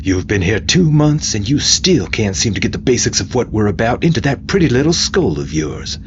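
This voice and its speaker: A man voicing a relentless, taunting military drill sergeant in a sci-fi audio story, loud and full of contempt. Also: on the low side, very animated, normal speed, muffled recording, a little hiss or hum, voice pushed up. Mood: mocking, angry. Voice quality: loud, forceful shouting. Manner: taunting, browbeating.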